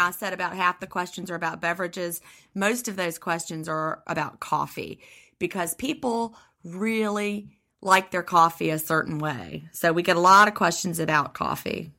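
A woman co-hosting a podcast, a teacher whose voice is slightly hoarse, talking in a friendly, chatty way with some restarts. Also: fairly low pitch, pushed and bright. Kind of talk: casual podcast chat.

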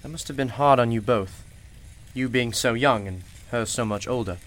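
A performer in a radio play playing a courteous, gently probing keeper of a mysterious magical shop. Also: medium pitch, normal speed, close to an everyday voice. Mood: sympathetic, curious. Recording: music playing behind the voice. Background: music.

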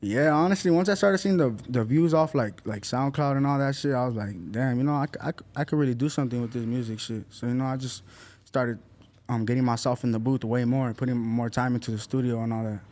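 Young man, eighteen, rapper, medium voice, relaxed street talk. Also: mid-range voice, quick pace. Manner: laid-back street slang, lots of fillers. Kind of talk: casual interview chat.

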